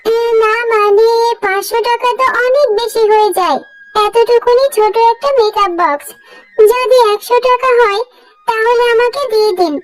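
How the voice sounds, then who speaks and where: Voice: very high-pitched, normal speed. An actor voicing a poor, weary but caring mother who scolds and soothes, in a cartoon story with music behind. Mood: hesitant, polite. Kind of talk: Bengali cartoon story dubbing.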